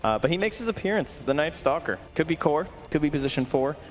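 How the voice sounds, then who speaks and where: A man casting an esports draft, analytical and quick to laugh. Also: on the high side, expressive, faint music behind. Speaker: esports caster. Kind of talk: casual draft commentary.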